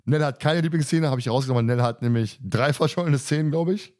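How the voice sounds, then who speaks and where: Man, medium-pitched, podcast moderator, informal chatty delivery, loose everyday wording. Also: quick pace, a little mellow. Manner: informal, colloquial, mildly crude. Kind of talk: casual podcast chat among co-hosts.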